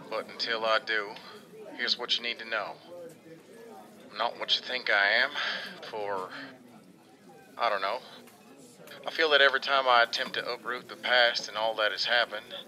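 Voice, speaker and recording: Earnest son leaving home, played by a man: low, very bright and forceful, hesitant confessional delivery for audio drama. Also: poor audio. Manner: halting, confiding. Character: earnest young man leaving home, pleading with his mother. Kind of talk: audio drama monologue, letter-like voice message.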